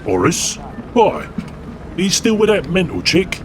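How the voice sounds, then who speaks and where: A man's voice: sits high, unhurried, voice pushed up, exaggerated voice. A man voicing a brash, laddish demigod warrior who banters bluntly and crudely. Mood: incredulous. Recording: steady background noise.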